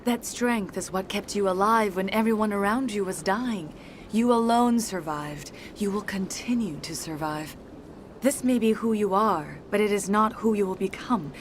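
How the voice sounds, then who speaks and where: An actress playing a serene, mystical alien courtesan in a video game, speaking formally and poetically. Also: female voice, mid-range voice, moderate pace, a little hiss or hum, lightly acted. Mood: solemn. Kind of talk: video game cutscene acting. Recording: music behind.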